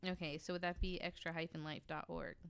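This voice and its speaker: A woman's voice: fairly low pitch, flat delivery, a little fast, vocal fry, a bit bright, a little hiss or hum. A woman chatting casually and bluntly on a discussion panel, sometimes reading information aloud. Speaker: podcast panelist. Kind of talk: casual panel chat, reading out web pages.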